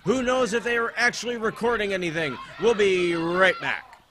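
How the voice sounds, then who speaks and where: American male, high voice. Sardonic, deadpan wrestling commentary over clear background noise. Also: normal speed. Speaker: wrestling play-by-play announcer. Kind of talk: comedic sports commentary.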